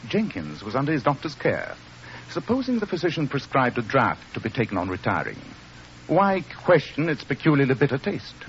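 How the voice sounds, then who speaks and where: An actor playing an assured, precise gentleman detective in a radio drama. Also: mid-range voice, huge pitch swings. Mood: assured, analytical. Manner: crisp, deliberate, deductive. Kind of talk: old radio drama acting. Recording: muffled old radio recording, faint noise.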